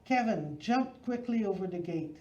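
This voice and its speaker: A woman's voice: medium pitch, expressive, normal speed, a little mellow. A woman reads a children's picture book aloud as a storyteller, expressively, phrase by phrase with emphasis. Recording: roomy, slightly dull recording.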